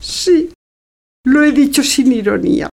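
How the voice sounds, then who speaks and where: A woman's voice: sits high, lively intonation, unhurried, creaky, darkish tone, full character voice. An actress playing an effusive, scheming middle-aged mother in a theatrical farce, affected and full of exclamations. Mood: gushing, affected. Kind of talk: theatrical farce audio drama.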